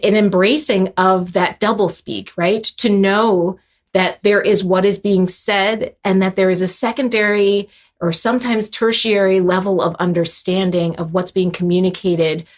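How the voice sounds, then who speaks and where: A young adult woman, a composer guest, speaking thoughtfully with many fillers and restarts. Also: mid-range voice. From Haitian heritage. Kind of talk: reflective interview talk.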